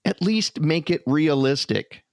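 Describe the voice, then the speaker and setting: A man's voice: fairly high pitch, lively intonation, unhurried, dry recording, clean studio sound. A man co-hosting a podcast in a casual, conversational storytelling style. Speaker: podcast co-host.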